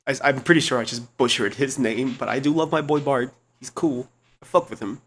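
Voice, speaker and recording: A young man streamer with a low speaking voice, talking in a rambling, slangy way full of restarts and fillers, close to a desk mic at home. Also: a little fast, fairly bright tone, breathy edge. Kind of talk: casual chat. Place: home computer room.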